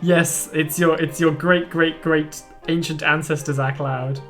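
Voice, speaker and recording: A man's voice: a little high, fairly even intonation, a little slow, over music. A man streaming a game with friends, reading its text aloud in a playful, punning, chatty way. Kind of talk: casual game stream chat and read-aloud narration.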